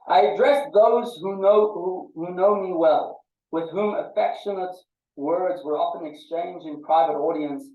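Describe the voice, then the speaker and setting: Echoey, phone-like higher-pitched man teaching. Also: mellow, distant mic. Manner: halting, repeats words as he explains. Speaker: class teacher. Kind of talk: informal lecture to a small study group. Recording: muffled phone-like audio, echoey, off-mic.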